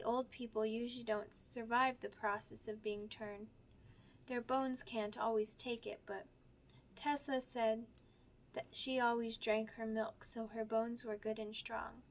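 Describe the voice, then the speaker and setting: Woman voicing a high-pitched childlike werewolf pup. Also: close to an everyday voice. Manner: childish wording, halting, repetitive, blurting. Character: childlike young werewolf pup, excitable, stubborn, orphaned from pack. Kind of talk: ASMR character roleplay audio drama.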